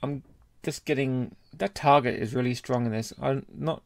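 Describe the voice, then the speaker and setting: A man co-hosting a podcast, giving a measured, analytical take in casual review chat. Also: medium pitch, vocal fry. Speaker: podcast co-host.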